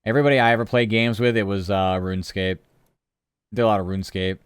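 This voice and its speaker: A man's voice: lowish voice, steady tone, quick pace, clean tone, no echo, clean studio sound. A man streaming live, chatting casually and rambling from topic to topic while answering his chat.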